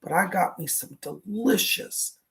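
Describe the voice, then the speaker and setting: A man with a raspy voice, a psychic medium giving live readings in a soothing, reassuring, chatty way. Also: sits high, unhurried, fairly bright tone.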